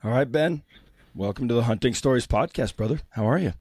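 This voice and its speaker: Hoarse male podcast host with a cold. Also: medium pitch, lively intonation, fairly quick, music in the background. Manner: friendly, hesitant with many fillers. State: losing his voice from a cold. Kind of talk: casual interview chat.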